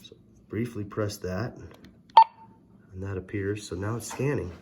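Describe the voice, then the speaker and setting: A man's voice: fairly low pitch, steady tone, measured pace. A man giving a casual, hands-on gadget tutorial, explaining step by step in a hesitant way. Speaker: product reviewer, presenter. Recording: very noisy, electronic beeps and clicks.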